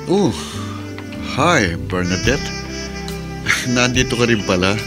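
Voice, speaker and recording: An actor playing a jilted bachelor drinking to forget in a Filipino radio drama, brooding and drawling, with a Filipino accent. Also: male voice, mid-range voice, very animated, takes it slowly, music in the background. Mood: wry, casual.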